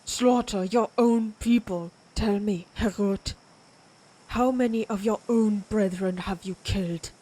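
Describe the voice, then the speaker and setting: A woman's voice: medium pitch, dark tone, lightly acted, low-quality recording. A woman playing a proud, menacing vampire warrior of ancient Germanic tribes in a dramatic audio roleplay, speaking in a taunting, predatory way. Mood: outraged. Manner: slow, taunting, theatrical, predatory.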